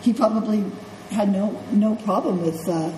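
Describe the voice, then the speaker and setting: Congested middle-aged woman, nursing instructor, low mellow voice, casual lecture in a roomy classroom. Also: normal speed, slight background noise. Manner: conversational, checks in with "okay?" and "right?". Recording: echoey classroom with faint ambience.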